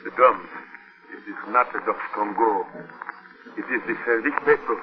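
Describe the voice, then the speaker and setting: A man's voice: on the low side, close to an everyday voice. Man acting a polite island servant, muffled old radio. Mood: grave, urgent. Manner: formal, polite, measured. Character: deferential male island servant who tells fortunes. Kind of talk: 1940s radio drama acting. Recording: muffled vintage 1940s radio recording.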